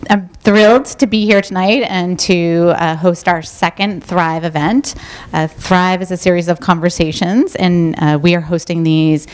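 A woman's voice: fairly low pitch, steady tone, unhurried, clear, resonant tone. A woman opening a live event as its host, speaking with frequent hesitations.

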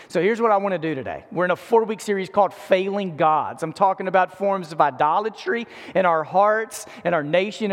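High-voiced male preacher in an echoing hall. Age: 40s. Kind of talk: conversational sermon. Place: large indoor room before an in-person audience. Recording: echoey hall with long reverb.

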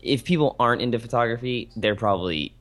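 A man's voice: quick pace, a bit bright, faint music behind. A young man co-hosting a podcast in casual, joking chat, keeping his voice down at night. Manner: joking, keeps voice low for sleepers at home. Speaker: podcast co-host.

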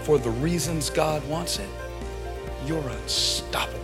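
A man preaching a sermon with passionate, shouting delivery. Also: fairly high pitch, measured pace. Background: music.

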